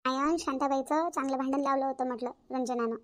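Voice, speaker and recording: Woman voicing a high, quick-talking Varhadi village aunt. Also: fairly even intonation, lightly acted. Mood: emphatic, indignant. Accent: Varhadi Marathi from Vidarbha. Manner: emphatic, repetitive, colloquial. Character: outspoken village aunt, sister-in-law, frank peacemaker. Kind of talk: cartoon family drama acting.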